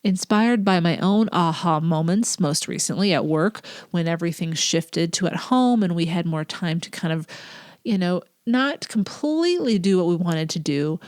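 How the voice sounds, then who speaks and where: A woman's voice: lowish voice, lively intonation, normal speed, a little mellow, no room sound, studio quality. A woman with a nasal voice, stuffed up with a cold, hosting her own podcast in an earnest, reflective way. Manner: earnest, reflective, drops to breathy whispers at times. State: congested with a cold. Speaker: podcast host; teacher. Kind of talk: casual solo podcast talk.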